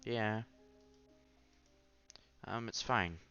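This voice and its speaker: A man's voice: fairly low pitch, extremely slow, sharp tone, clear, resonant tone, lo-fi sound. A man hosting a casual read-aloud playthrough of a visual novel, reading narration and dialogue with occasional stumbles and side comments. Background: background music.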